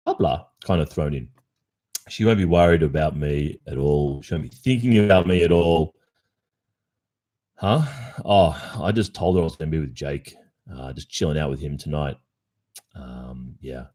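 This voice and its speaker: A man's voice: low-pitched, mellow. A man with an Australian accent acting a cheating, casually evasive young boyfriend in an ASMR roleplay, speaking conversationally with hesitations. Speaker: roleplay performer.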